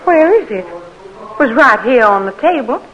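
An actress playing a proud, fierce young Southern plantation woman in a 1940s radio drama, speaking in an emphatic, high-strung way. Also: very animated, measured pace, dull audio. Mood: anxious.

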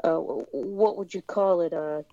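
A woman's voice: fairly low pitch, measured pace, dark tone, no room sound, lo-fi sound. A woman raised in the United States, a musician guest in a remote interview, talking hesitantly with many fillers and restarts.

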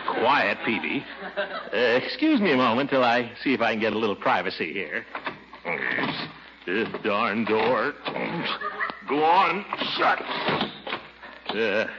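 A man's voice: mid-range voice, big pitch swings, slow, long pauses. A pompous, exasperated middle-aged uncle in a 1940s radio comedy, swooping theatrically up and down as he blusters. The sound is a muffled, noisy old broadcast. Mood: coy, courting.

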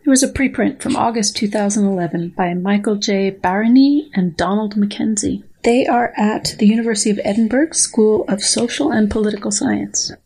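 A woman's voice: medium pitch, darkish tone, slightly rough, low-quality recording. A woman, a biologist guest on a podcast, reading passages aloud and answering with wry humor. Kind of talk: podcast interview with dramatic readings.

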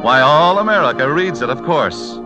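A man's voice: a little high, expressive, moderate pace. Vintage male radio announcer: dramatic, scripted, swooping delivery over music. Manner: formal, dramatic showmanship.

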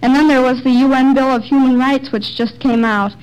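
A young girl gives answers on an old radio quiz. Her voice is high, and her manner is earnest and precocious, as heard on a vintage 1940s broadcast. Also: steady tone, fairly quick, a little mellow, smooth, highs cut off. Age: child or young teen girl. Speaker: quiz kid contestant.

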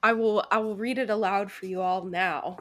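Female co-host, mid-pitched, playful and teasing, relaxed conversational delivery. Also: moderate pace. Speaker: book podcast co-host. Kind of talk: casual unscripted book chat.

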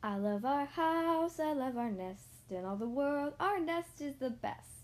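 A small, fretful husband bird from a children's storybook, voiced high and emotional, as a woman puts him on. His pitch climbs steeply and swings widely. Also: big pitch swings, takes it slowly, full character voice. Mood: cheerful.

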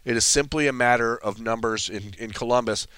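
A man talks through college football recruiting with quick, assured analysis and a dry wit. His voice is smooth and clear, recorded close and dry with no room sound. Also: mid-range voice, fairly bright tone, dry recording. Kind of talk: casual sports talk.

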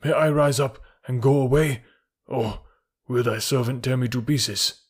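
A man voicing a frightened, servile old village hunter with a husky voice in a storybook reading. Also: medium pitch, steady tone, unhurried. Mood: fearful pleading.

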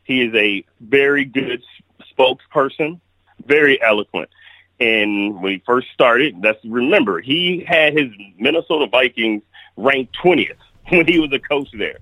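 A man's voice: mid-range voice, animated, measured pace, bright, forceful tone, no echo, poor audio. Blunt, opinionated man on a remote call. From Kalamazoo, Michigan. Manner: blunt, opinionated, talks in restarts. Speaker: guest sports commentator. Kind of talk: casual sports podcast chat.

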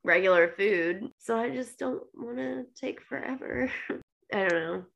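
Hoarse, congested woman chatting. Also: mid-range voice, measured pace. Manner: laughs a lot, animated storytelling. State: hoarse, congested from a head cold. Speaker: podcast co-host. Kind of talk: casual chat.

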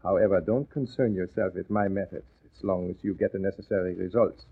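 A man's voice: low voice, steady tone, brisk, husky. A man playing a menacing wartime German spy ringleader in a 1940s radio drama, formal, clipped and imperious, cold and mocking. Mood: coolly scheming. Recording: muffled, narrow-band old radio recording.

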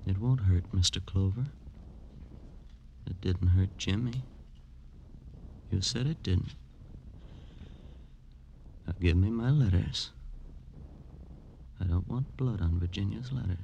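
An actor playing a delusional, lovesick killer in a 1950s radio crime drama, childlike, insistent and self-justifying. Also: male voice, low-pitched, slow pace, vocal fry, pauses often, dark tone, highs cut off, heavily acted. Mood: cold, menacing. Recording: old radio recording with faint noise.

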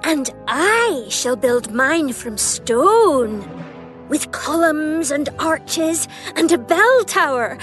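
A man voicing a grand, proud female engine in a high, haughty voice. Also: very animated, drawn-out words, fairly bright tone, faint music behind, full character voice.

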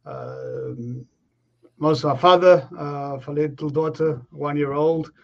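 A man, his voice sitting a little high for a man. He answers in a relaxed, reflective way and pauses often on fillers. The remote call audio is slightly dull and lo-fi. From Argentina. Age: 30s. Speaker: guest, professional rugby player. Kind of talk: remote podcast interview.

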